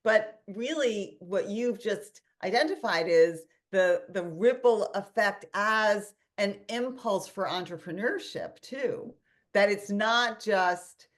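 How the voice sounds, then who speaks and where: A woman with a fairly low voice talks over a video call link. She tells a story with lots of energy, restarting her sentences and acting out quoted asides as she hosts a casual webinar chat. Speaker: host and company founder.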